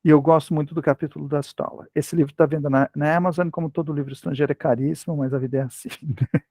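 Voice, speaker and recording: A man's fairly high voice with a gravelly fry runs through his answers, recorded dry and close. He explains teaching approaches conversationally, hesitating and restarting his sentences on a video call. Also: steady tone, quick pace, a little mellow, no room sound. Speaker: language teaching lecturer. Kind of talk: academic talk, casual explanation.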